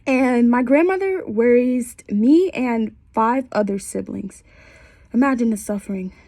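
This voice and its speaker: A woman's voice: fairly high pitch, unhurried, mellow, no room sound. A young woman in her twenties with a stuffy, congested voice, candidly telling her personal story for a class in a small bedroom. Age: 20s. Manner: candid and conversational, with wry asides. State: congested from a cold. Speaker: student.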